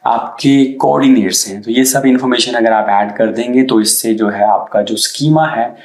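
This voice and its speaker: A man's voice: medium pitch, normal speed, a little mellow. A man with a sore throat explaining an SEO tutorial in a casual, hesitant way, mixing in English terms. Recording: echoey room.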